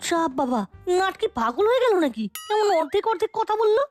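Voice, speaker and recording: A woman voicing a cheeky ten-year-old boy, a brisk and incredulous sidekick in a children's cartoon. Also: very high-pitched, sing-song, a little mellow, faint music behind. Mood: puzzled. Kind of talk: animated children's cartoon dub.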